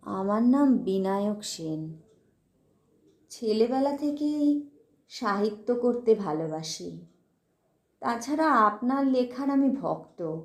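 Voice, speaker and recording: A woman voicing a melancholy, secretive ghostly gentleman poet in an audio ghost story, speaking politely in a hushed, plaintive, halting way. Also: fairly high pitch, animated, echoey room. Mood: plaintive, wistful.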